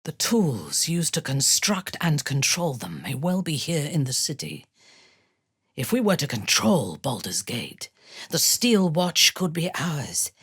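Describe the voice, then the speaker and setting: Video game drow war leader: woman's deep register, slow and deliberate, imperious, menacing, expressive swings in tone. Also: slightly rough, no room sound, clean studio sound, full character voice. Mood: cold, approving. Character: ruthless, imperious female drow warband commander. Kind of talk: dramatic video game voice acting.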